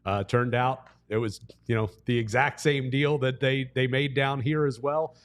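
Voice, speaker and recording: A man's voice: mid-range voice, fairly even intonation, normal speed. A man who works as a sports broadcaster, telling stories casually as a podcast guest. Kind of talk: casual interview storytelling.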